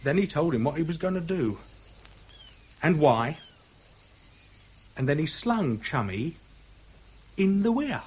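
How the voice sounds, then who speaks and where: Slangy affable british guy with a grudge, high voice, 70s radio play, swoopy intonation. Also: sing-song, takes it slowly, pauses often, a little mellow, slightly rough, exaggerated voice. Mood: slyly menacing. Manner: colloquial, slangy, casually insinuating. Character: chatty, outwardly affable man nursing a vengeful grudge. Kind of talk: 1970s British radio drama acting. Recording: 1970s radio drama with music.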